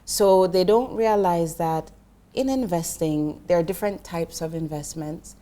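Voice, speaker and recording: A woman's voice: on the low side, measured pace, dark tone. A middle-aged woman from Jamaica, a guest in an interview, explaining finance earnestly with frequent restarts. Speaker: investment professional guest. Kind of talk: interview conversation.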